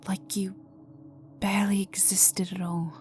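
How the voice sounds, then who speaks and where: Woman acting a slow, whispery, haughty vampire noblewoman. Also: mid-range voice, little pitch movement, natural voice. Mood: coolly amused. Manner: slow, condescending, teasing, often dropping to a whisper. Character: cold, haughty aristocratic female vampire, menacing and amused. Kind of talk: dark ASMR roleplay acting. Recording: voice over dark ambient music. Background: dark atmospheric music with resonant tones.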